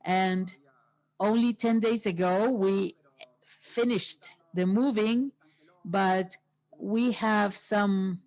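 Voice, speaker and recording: A woman interpreting a workshop into English, pausing often between phrases. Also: mid-range voice, steady tone, a little mellow, low-quality recording.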